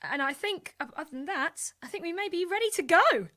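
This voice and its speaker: A woman with a high-pitched voice co-hosts a live online stream. She talks casually and conversationally, as if chatting with colleagues and viewers at once. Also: lively intonation, fairly quick, pushed and bright. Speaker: co-host. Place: online group call.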